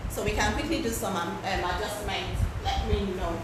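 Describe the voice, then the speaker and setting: A woman with a loud voice leads a sewing pattern lesson in a casual, chatty way, often repeating words for emphasis. She is heard from some distance in a very echoey room. A steady background noise runs under her voice. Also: medium pitch, normal speed. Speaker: fashion pattern-drafting instructor. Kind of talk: live-streamed class, casual instruction.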